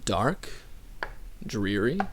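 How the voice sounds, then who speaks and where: A man's voice: on the low side, sing-song, background noise, exaggerated voice. A man acting a smug, needling god who probes and teases his brother in a radio play. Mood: smug, teasing. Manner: unhurried, smug, probing. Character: Zeus, smug, needling male god, teasing older brother.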